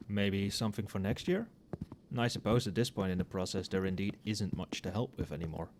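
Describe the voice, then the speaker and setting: A man playing a tipsy, friendly professor in a casual ASMR roleplay, speaking softly and muttering as if to a colleague. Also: sits low, fairly quick, background noise. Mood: caring, insistent. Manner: soft-spoken, muttering. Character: tipsy, friendly, conscientious male magic-university professor working late.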